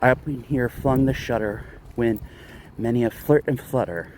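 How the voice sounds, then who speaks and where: A man, an amateur reader, recites Gothic poetry aloud in measured, dramatic phrases with pauses while out walking. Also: medium pitch, darkish tone, low-quality recording. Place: outdoors, walking a dog. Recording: outdoor street noise, vehicles, jangling keys.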